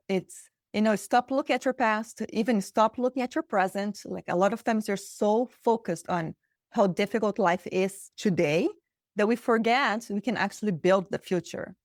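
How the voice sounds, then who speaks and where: A woman from Brazil, Portuguese her first language, answers as a coach guest in her thirties. Her mid-pitched voice is earnest and animated, with rhetorical questions. The sound is dry and close. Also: no room sound. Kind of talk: interview answers.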